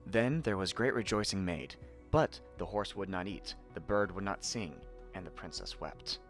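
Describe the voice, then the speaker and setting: A man narrating a fairy tale in an expressive, theatrical storytelling manner. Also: deep voice, moderate pace, faint music behind.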